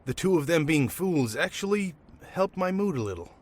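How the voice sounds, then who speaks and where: A man's voice: a little high, big pitch swings, normal speed. A man playing a nervous, chatty comic shop owner in an audio drama, stammering and wry. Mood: rattled, talkative. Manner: nervous, stammering, wryly talkative. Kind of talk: naturalistic audio drama acting.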